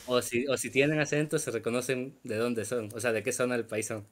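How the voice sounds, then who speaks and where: A man, a guest player, chatting casually over a remote call and answering briefly and calmly. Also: medium pitch, a little fast.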